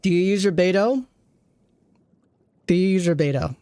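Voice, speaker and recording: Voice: mid-range voice, moderate pace, mellow, clean tone, dry recording. A game streamer reviewing an account with casual commentary, thinking aloud and often trailing off mid-thought.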